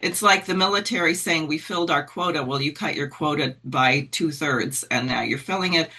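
A middle-aged woman talks earnestly, working through her ideas aloud with frequent fillers. Her voice is bright and carries a husky edge. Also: deep voice, moderate pace. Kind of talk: conversational political talk.

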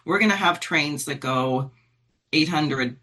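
Bright, husky-voiced earnest woman. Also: sits low, slow pace. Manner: earnest, searching, thinks aloud with fillers. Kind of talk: conversational political talk.

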